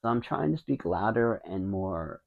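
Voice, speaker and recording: A man in his fifties with a low, quiet voice, who mumbles and rambles with many restarts as he talks to camera. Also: animated, moderate pace, creaky, phone-quality sound. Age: 50s. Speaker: vlogger. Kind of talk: casual talking to camera. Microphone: wireless mic into a phone.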